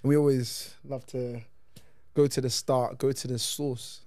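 A man's voice: medium pitch, flat delivery, unhurried, warm tone, dry recording. Casual male podcast host, dry close sound. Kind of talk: casual conversational interviewing.

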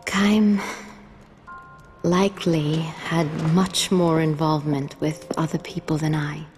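An actress playing a solemn, dignified, ageless woman in a game cutscene, speaking in a measured, formal, reflective way. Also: low voice, level tone, a little mellow, faint music behind. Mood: solemn, resolute. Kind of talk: video game cutscene acting.

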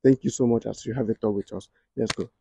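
A man's voice: mid-range voice, fairly even intonation, quick pace, vocal fry, warm tone, husky, highs cut off. A young Nigerian man with a Nigerian accent hosting an upbeat walking tour, speaking straight to viewers outdoors. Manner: upbeat, addresses viewers directly. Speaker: real estate video host. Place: outdoors in a church compound.

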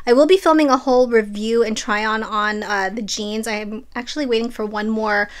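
A woman's voice: fairly high pitch, fairly even intonation, fairly quick. A woman in her thirties chatting casually at home in a haul vlog, upbeat and full of fillers. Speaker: vlogger. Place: small bedroom filming space at home.

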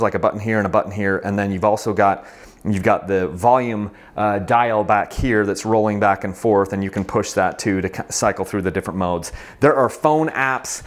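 A middle-aged man with a lowish voice talks through a hands-on product review. He is casual and conversational, explaining things as he goes.

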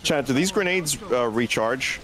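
A man with a bassy voice making dry jokes in a casual gaming chat with friends. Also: animated, moderate pace, poor audio. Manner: dry, joking asides. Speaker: streamer guest. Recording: game music behind voice.

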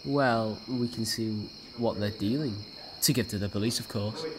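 A man's voice: fairly low pitch, moderate pace, background noise, natural voice. A young British man playing a brash college student, a cocky but loyal friend, in an audio drama. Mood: hushed, scheming. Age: young adult. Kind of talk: naturalistic audio drama acting.

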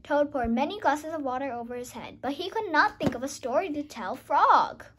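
Young-sounding female read-aloud host, high and lively, informal, faint noise behind. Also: normal speed. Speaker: host and narrator. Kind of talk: children's storybook read-aloud.

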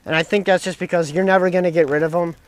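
A young man giving excited, casual running commentary while fishing from a dock on a lake. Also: sits high, rapid-fire. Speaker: fishing vlogger. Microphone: action camera mic, sometimes head-mounted.

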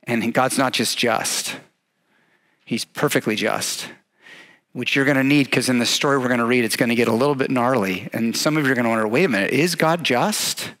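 A man in his forties, a pastor preaching a sermon on a church stage to his congregation, animated and energetic, acting out the quotes he tells. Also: mid-range voice, brisk.

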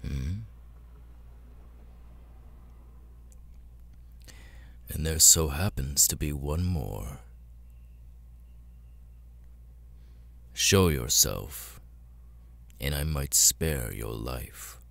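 A man voicing a cold, arrogant immortal demon lord in an ASMR roleplay, speaking with deliberate, condescending menace. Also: animated, full character voice. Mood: smug menace. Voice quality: very deep, gravelly, husky. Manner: slow, deliberate, condescending, with long pauses.

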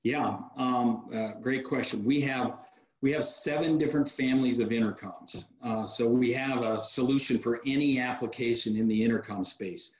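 A man in his forties, a company guest on a video call interview, explaining security products in a relaxed, practical way with frequent fillers. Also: medium pitch, normal speed. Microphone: video call webcam mic. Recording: echoey room over a dull video call.

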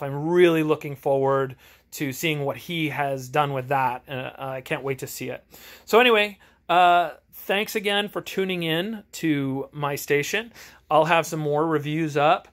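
A man giving a casual, rambling game review to camera in an earnest, conversational, enthusiastic manner, recorded in his home garage. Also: a little high. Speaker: amateur tabletop game reviewer and host.

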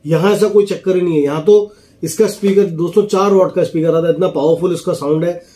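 Man, thirties, fairly high voice, soft-edged mellow tone, casual product reviewer, explanatory, English phrases mixed in. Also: steady tone, quick pace. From India. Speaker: product reviewer and walkie-talkie seller. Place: small office, indoors.

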